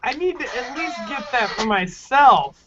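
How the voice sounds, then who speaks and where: A man in his twenties chatting in a group video call with slow, joking banter. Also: very high voice, expressive, muffled recording, background noise. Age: 20s. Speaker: former reality show contestant. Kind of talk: casual group video chat.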